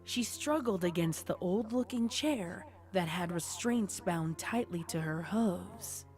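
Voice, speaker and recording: A woman with a slightly low voice narrates a scripted story. Her delivery is that of a dramatic storyteller. Music plays faintly behind her. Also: animated, slow, a little mellow.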